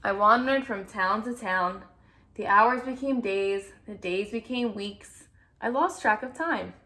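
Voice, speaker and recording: A woman voicing an earnest, anxious-to-please male egg in an expressive children's picture-book read-aloud. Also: mid-range voice, measured pace. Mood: reflective. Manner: expressive storybook reading with playful emphasis.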